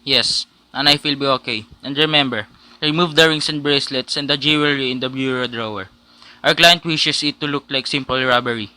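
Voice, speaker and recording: A man's voice: mid-range voice, steady tone, brisk, sharp tone, dry recording, low-quality recording. A young man playing a cold, businesslike hired killer with clipped, matter-of-fact speech in a student radio drama.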